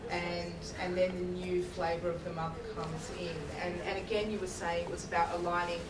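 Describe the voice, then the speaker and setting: A woman's voice: on the low side, little pitch movement, brisk. A woman in the audience making an earnest, reflective comment during a Q&A. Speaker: audience member. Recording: distant, echoey, crowd behind.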